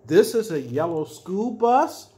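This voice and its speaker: An older man reading a children's picture book aloud as a storyteller, adding simple explanations with drawn-out emphatic words. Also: high voice, big pitch swings, dark tone. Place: small furnished room at home, indoors.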